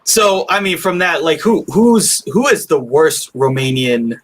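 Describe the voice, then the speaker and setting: A man's voice: on the high side, a little slow. A man hosting a film review podcast in a casual, conversational style.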